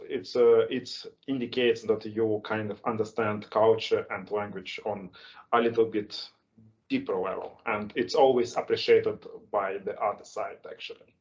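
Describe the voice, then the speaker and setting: A man with a strong Russian accent, speaking English as a second language and hosting an online speaking club, halting with many restarts and fillers. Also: fairly low pitch. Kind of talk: casual online English lesson chat. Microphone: video call. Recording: lo-fi video call audio.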